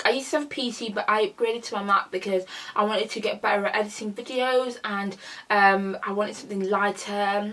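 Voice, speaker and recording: A woman's voice: on the high side, fairly bright tone, rough recording. A young woman from a Congolese family, chatting casually through a Q&A vlog, rambling and restarting her sentences. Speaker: YouTuber.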